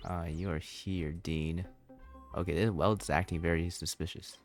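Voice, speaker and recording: Gaming host: man, very low voice, casual reaction commentary, light music underneath. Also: fairly even intonation, a little slow. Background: game music.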